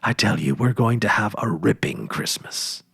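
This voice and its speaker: A man's voice: fairly low pitch, very animated, normal speed, gravelly, fairly bright tone, husky, no room sound, exaggerated voice. A man voicing a confident, eager ten-year-old farm boy in a storybook, earnest and emphatic, with a boastful know-it-all manner. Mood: reassuring, excited.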